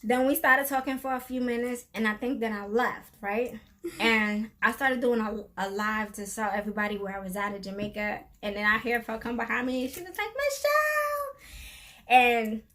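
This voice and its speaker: A chatty middle-aged woman hosting a casual live stream with her daughter. Her voice sits fairly high, and she laughs easily as she tells her stories. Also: moderate pace, sharp tone. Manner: chatty, laughs often while telling stories.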